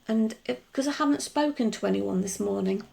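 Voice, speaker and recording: A middle-aged woman talking through a nail art tutorial in a chatty, rambling way, her voice gruff from just waking up. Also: a little high, brisk, warm tone, faint music behind. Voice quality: gruff, gravelly. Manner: chatty, rambling explanations with many asides. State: just woken up. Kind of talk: casual tutorial voice-over.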